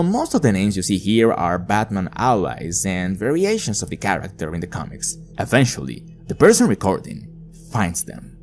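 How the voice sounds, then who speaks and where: A male narrator with a low voice delivers a scripted story recap. His intonation is lively and varied as he explains events. Faint music plays behind him. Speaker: video narrator.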